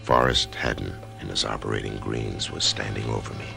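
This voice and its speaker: A man's voice: very deep voice, steady tone, creaky, exaggerated voice. A frightened Norman facing death. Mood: reflective. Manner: frightened, halting. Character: anxious man facing surgery, Norman. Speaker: lead actor and narrator. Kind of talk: 1990s radio drama acting. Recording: remastered radio drama with music.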